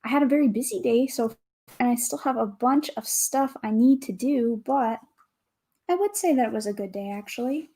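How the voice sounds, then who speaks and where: A woman with a calm, soothing voice, chatting casually with viewers as a livestream host, greeting and thanking them as she goes. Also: on the high side, normal speed. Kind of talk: casual livestream chat while building.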